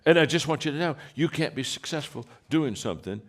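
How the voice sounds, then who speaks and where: A man with a deep voice giving a motivational talk, telling stories with many restarts and acted-out quotes. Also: expressive, a little fast. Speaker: leadership speaker.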